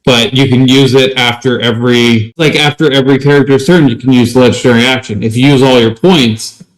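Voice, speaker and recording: A man's voice: medium pitch, fairly even intonation, fairly quick, poor audio. A man co-hosting a podcast, chatting casually about tabletop gaming. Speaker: podcast co-host.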